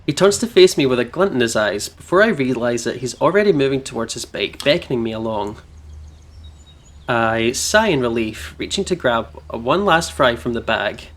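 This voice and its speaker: A man's voice: mid-range voice, lively intonation, moderate pace. A British man hosting a visual novel let's play, switching between casual commentary and read-aloud narration in a playful manner. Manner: giggly, playful. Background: game music.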